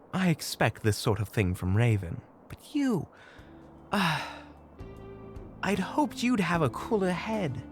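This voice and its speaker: An actor playing a kind-hearted monster hunter in an audio roleplay, dry, sarcastic and gently scolding. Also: mid-range voice. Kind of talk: audio roleplay drama acting.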